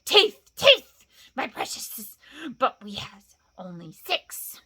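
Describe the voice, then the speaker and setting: A woman's voice: on the high side, huge pitch swings, takes it slowly, pushed and bright, slightly rough, heavily acted. A woman voicing a wretched, sneaky old cave creature who hisses and whispers in broken grammar. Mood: gleeful. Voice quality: hissing, whispery. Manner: broken grammar, repeats "my precious".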